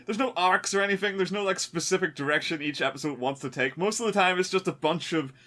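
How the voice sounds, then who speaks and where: A man co-hosting a podcast in casual, animated, rambling chat with loose swearing. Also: high voice, quick pace. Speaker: podcast co-host.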